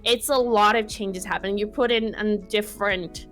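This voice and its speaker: A woman in her twenties from Mexico with a Mexican Spanish accent, a podcast guest speaking casually in English, her second language, pausing and restarting to find her words. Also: medium pitch, steady tone, fairly bright tone, soft background music. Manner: thoughtful, searching for words, many restarts. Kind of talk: casual conversation.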